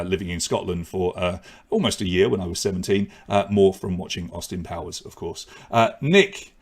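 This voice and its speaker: A man hosting a live online pub quiz. He reads out questions and scores and drops in joking asides. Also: on the low side. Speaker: quiz host.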